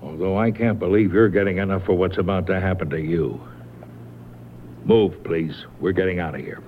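An actor playing a cagey, patronising killer in a radio mystery, casual and controlled with cool menace. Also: male voice, low-pitched, moderate pace, lightly acted. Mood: coolly menacing. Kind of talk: radio mystery drama acting. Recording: tinny band-limited vintage radio, faint noise.

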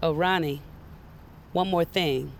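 Gruff blunt older-sounding woman mentor, gravelly creaky voice, faint engine rumble, audio drama. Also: slow, heavily acted. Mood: wry, firm. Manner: blunt, no-nonsense. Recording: faint vehicle rumble behind.

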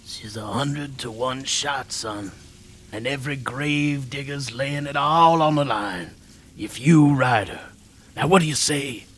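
A man playing a weary old male jockey who talks slowly and drops into hushed whispers. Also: medium pitch, slight background noise, close to an everyday voice. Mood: coaxing. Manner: slow, dropping to hushed whispers.

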